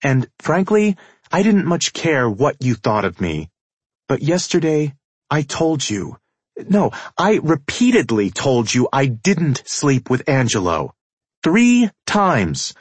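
A man's voice: medium pitch, huge pitch swings, slow pace, slightly rough, no room sound, voice pushed up, exaggerated voice. A proud young woman, acted by a male narrator, wounded and defiant. The voice sits well above his natural register and lands each phrase with cutting emphasis, as audiobook dialogue. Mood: accusing, defiant.

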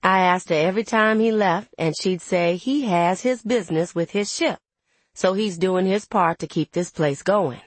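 Actress as plainspoken young woman, wry, resentful, first-person audiobook, conversational dialect, husky, dry sound. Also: lowish voice, normal speed, dry recording, natural voice. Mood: wry, aggrieved.

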